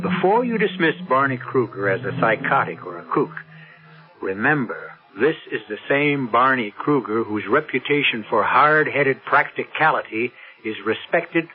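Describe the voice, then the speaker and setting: A man narrating a scripted radio mystery as its host, solemn, deliberate and portentous. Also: mid-range voice, phone-quality sound, natural voice. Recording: band-limited old radio recording with music.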